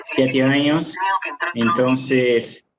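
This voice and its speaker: A man's voice: mid-range voice, fairly even intonation, very slow, crowd noise. A middle-aged man from Brazil with a Brazilian Portuguese accent, giving an online business presentation with many "ah" fillers and repeated words. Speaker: webinar speaker.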